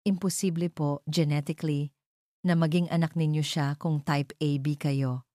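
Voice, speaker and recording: A woman's voice: sits low, fairly even intonation, normal speed, dark tone, dry recording, studio quality, lightly acted. A woman voicing an anxious hospital nurse, urgent yet respectful, in an audio drama. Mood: confused, apologetic. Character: anxious hospital nurse reporting alarming news.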